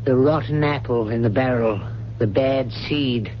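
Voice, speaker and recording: An actor playing a loyal, reproachful old family butler in a 1970s radio mystery, speaking formally and deliberately. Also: male voice, medium pitch, close to an everyday voice. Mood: stern accusation. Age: older. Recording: dull, noisy old radio broadcast recording.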